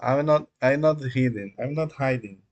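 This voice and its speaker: A man whose first language is Spanish, chatting casually on a live coding stream in a rambling, halting way, with many fillers and small chuckles. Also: mid-range voice, highs cut off. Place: dark room at home.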